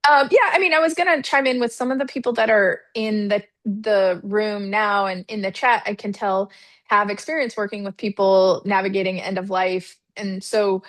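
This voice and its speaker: A woman moderates an online lunch session in a loose, chatty way, leaning on fillers and quick laughs. Her voice sits mid-range and sounds close and dry. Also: medium pitch, fairly even intonation, no room sound. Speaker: webinar host. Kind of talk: casual webinar moderating. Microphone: video call.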